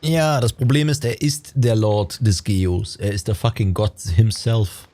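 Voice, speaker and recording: A man's voice: lowish voice, steady tone, measured pace, dark tone. A German man giving casual, slangy, joking live-stream commentary as he plays a game. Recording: game music behind the voice.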